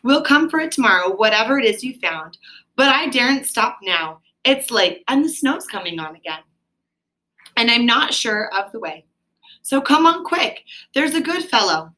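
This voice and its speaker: A woman reading the part of a cheerful male rat in a storybook, coaxing his friend along in a brisk, practical way. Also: a little high, animated, pushed and bright, low-quality recording. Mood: brisk urging.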